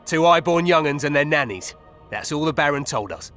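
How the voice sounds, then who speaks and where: A rough, cocky hired thug. The voice is forceful and swings widely in pitch, crude and defiant, with game music underneath. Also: male voice, animated, a little fast. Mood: indignant. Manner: crude, blunt, colloquial. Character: coarse, foul-mouthed male hired thug, cocky and defiant. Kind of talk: video game voice acting.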